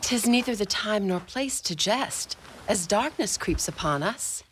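A woman playing a devoted, anxious wife in an amateur Shakespearean radio drama, speaking in a measured, earnest manner. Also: medium pitch, expressive, a little hiss or hum, close to an everyday voice, rough recording. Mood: tender, weary. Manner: measured, earnest archaic delivery.

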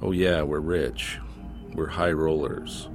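A man's voice: very deep voice, steady tone, slow, music in the background, heavily acted. A man voicing a laconic, sardonic card-playing neighbor who answers drily and tersely in a story reading. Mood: dry answering.

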